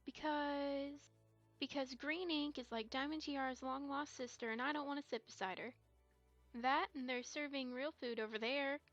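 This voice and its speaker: Woman as a very high-voiced little unicorn filly. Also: steady tone, moderate pace, fairly bright tone, dull audio, soft background music. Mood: childlike complaint. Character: young unicorn filly, earnest and a little defensive. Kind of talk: amateur audio drama acting.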